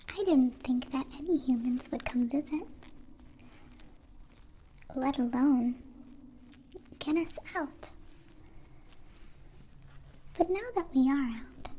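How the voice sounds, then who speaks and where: A woman playing a gentle, curious young alien in an ASMR roleplay, mostly whispering in slow, halting, formal phrases. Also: high voice, slight background noise. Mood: gentle, attentive. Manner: slow, halting, formal wording without contractions. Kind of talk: whispered ASMR roleplay, close personal attention.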